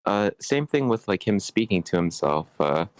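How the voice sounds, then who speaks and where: Man, fairly low pitch, rambling, stammering, casual slangy feedback, dry sound. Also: sing-song, normal speed, no echo. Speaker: participant giving script feedback. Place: online meeting.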